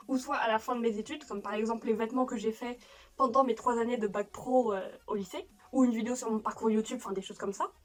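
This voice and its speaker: A young woman from France chatting casually as a YouTuber in her room at home, self-mocking, with frequent laughs and restarts. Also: fairly high pitch. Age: young adult. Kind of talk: casual vlog chat. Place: bedroom at home.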